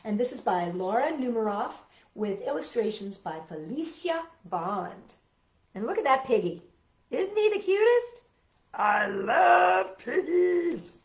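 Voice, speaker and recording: A woman hosting a children's storytime, reading aloud with exclamations and chatty asides addressed directly to young listeners. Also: medium pitch. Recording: roomy, dull lo-fi sound, faint music.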